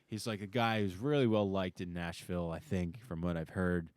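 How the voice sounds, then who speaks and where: A man chatting casually as a musician guest on a podcast, with a hesitant, halting delivery. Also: low voice, steady tone, a little mellow, clean tone, dry recording. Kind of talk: casual chat.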